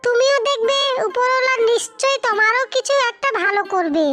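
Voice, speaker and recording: A woman voicing a kindly magical snake-woman cursed into a bird in a cartoon fairy tale, with a gentle, earnest, reassuring manner. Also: very high-pitched, animated, a little fast. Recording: music behind the voice.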